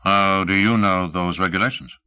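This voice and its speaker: Man playing a low-voiced, terse, cocky young photojournalist. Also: normal speed, bright, forceful tone, smooth, no room sound. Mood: dry, businesslike. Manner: dry, terse. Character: small, still-growing male photojournalist, cocky, gruff, terse.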